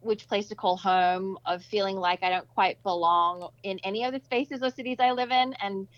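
A woman's voice: medium pitch, fairly even intonation, normal speed, dry recording, lo-fi sound. A woman raised in Southeast Asia and Australia, answering interview questions in an upbeat, chatty way. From Malaysia, raised in Indonesia, Australia and Singapore. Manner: upbeat, chatty, with playful asides. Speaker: guest, nonprofit founder. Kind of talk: casual conversational interview answers.

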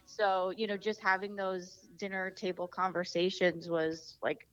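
A woman's voice: on the low side, flat delivery, measured pace, a bit bright, muffled recording. A woman from New Jersey, an interview guest, talking in a chatty way with many fillers and restarts. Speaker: guest, founder of a design studio. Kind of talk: casual interview chat.

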